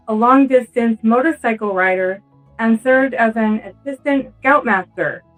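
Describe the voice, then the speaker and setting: A woman's voice: fairly high pitch, poor audio. A woman speaking as the host of an interview podcast. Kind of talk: scripted introduction and conversational interview.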